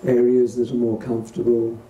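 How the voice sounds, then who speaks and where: A man leading a guided meditation as a teacher, speaking gently and unhurriedly in short phrases with pauses between them. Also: mid-range voice, completely flat delivery, muffled recording. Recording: distant roomy recording with faint noise.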